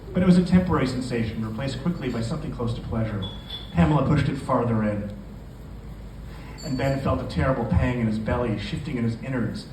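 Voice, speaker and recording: A man reading his own fiction aloud at a live literary event. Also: medium pitch. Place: indoor bar event room. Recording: echoey, noisy bar venue, distant microphone.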